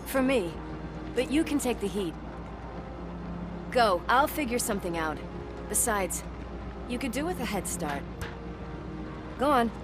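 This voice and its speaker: Tough policewoman, slightly high voice, wry, no-nonsense, game cutscene with music behind. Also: expressive, a little slow, long pauses. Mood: wry resolve. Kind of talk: video game acting.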